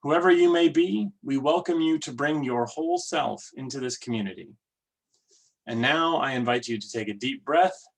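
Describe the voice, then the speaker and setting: A man leading worship, giving welcomes and readings in a measured, deliberate way. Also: medium pitch, vocal fry. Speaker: worship leader. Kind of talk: church service welcome and readings.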